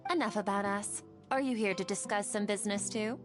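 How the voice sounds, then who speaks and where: A woman's voice: mid-range voice, fairly quick, over loud music, close to an everyday voice. A woman playing a polite, composed young scholar in a video game, speaking courteously and formally. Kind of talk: video game character acting.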